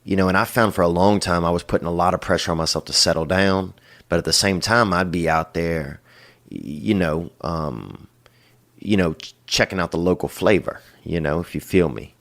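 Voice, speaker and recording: A middle-aged man with a very low voice gives advice to callers in a loose, rambling drawl. He keeps circling back with "you know" and "boy," and his talk is casual and crude. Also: moderate pace, fairly bright tone. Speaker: podcast host giving advice.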